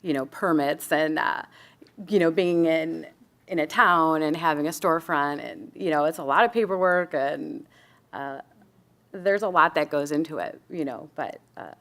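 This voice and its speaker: A woman's voice: low-pitched, normal speed, fairly bright tone. A woman talking casually and a little hesitantly as a panelist, in a conversational way. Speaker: panelist, small business owner.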